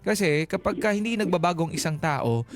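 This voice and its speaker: A man's voice: sits high, expressive, a little fast. A man with a Filipino accent hosting a radio advice show, talking things through conversationally. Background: faint music.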